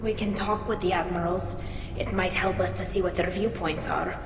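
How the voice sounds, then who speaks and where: A woman's voice: fairly low pitch, steady tone, normal speed, background noise, close to an everyday voice. A woman playing an earnest, emotional young alien engineer on trial in a video game cutscene. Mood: worried, earnest. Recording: echoey hall, music underneath.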